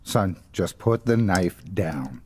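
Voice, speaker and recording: An actor playing a stern, matter-of-fact, authoritative police officer in a horror audio drama. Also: male voice, low voice, expressive, slow pace, a little mellow, husky, exaggerated voice. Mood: firm.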